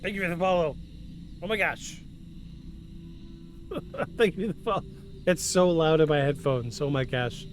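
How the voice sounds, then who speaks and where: Male podcast host, fairly high voice, effusive, mixes scripted narration and casual asides. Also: normal speed, long pauses, slight background noise. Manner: effusive, repeats himself for emphasis. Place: at home. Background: music.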